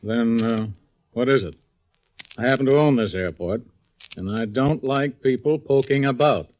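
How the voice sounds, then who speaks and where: A man's voice: mid-range voice, normal speed, a little mellow, natural voice, rough recording. An actor playing a suspicious, curt male airport owner in a 1940s radio drama. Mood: wary. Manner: curt, guarded. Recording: old radio broadcast recording.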